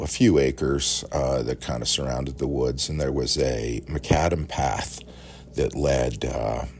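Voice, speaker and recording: A middle-aged American man telling casual, rambling childhood-style reminiscences in a halting, conversational way. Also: very deep voice, gravelly, a little mellow. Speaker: podcast storyteller. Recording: faint background noise.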